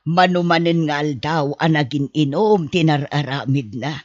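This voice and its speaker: A woman acting the part of a worried older mother in an Ilocano radio drama, gently pleading. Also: sits low, moderate pace, no echo, natural voice. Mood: worried, sighing. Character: worried elderly mother gently pleading with her drinking son. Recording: muffled dry close recording.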